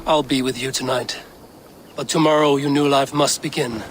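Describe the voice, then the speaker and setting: A man playing a steady, guarded Viking raider with a measured, terse manner, in a video game. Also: medium pitch, soft background music, close to an everyday voice. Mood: calm, guarded. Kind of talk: video game cutscene acting.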